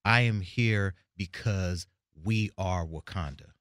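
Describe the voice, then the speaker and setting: Podcast guest, man in his forties, smooth low voice, dry close sound, relaxed storyteller. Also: slow, dry recording. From Tanzania. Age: 40s. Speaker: executive producer, guest. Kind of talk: casual podcast chat.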